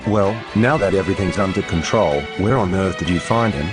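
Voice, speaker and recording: An actor playing a fussy, eager department store manager with an officious, effusive manner in an old-time radio drama. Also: male voice, deep voice, brisk, over music, lightly acted. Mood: pleased.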